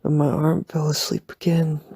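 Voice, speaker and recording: A man whispering softly at night in a rambling, playful, self-deprecating sleep-aid roleplay. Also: fairly high pitch, completely flat delivery, normal speed, no echo, studio quality. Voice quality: breathy whisper. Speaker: ASMR boyfriend roleplay creator. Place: home at night.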